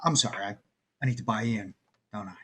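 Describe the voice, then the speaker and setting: A man's voice: fairly low pitch, fast talker, bright, forceful tone, a little hiss or hum. A man playing a nervous, bookish, principled newcomer at a poker game, hesitant, polite and articulately sarcastic in a drama table read.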